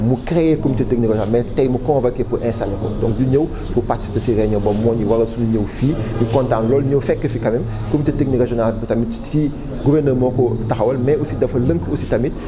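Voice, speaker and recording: A man with a Senegalese accent giving formal interview answers as a project official, halting often and repeating words. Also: medium pitch. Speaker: official spokesman for a public waste management project. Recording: clearly noisy background ambience.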